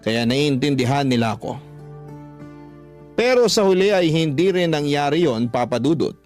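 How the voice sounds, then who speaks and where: A man's voice: a little high, lively intonation, moderate pace, a little mellow. A man reading listeners' letters as a dramatic first-person story, as a radio host. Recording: music under the voice.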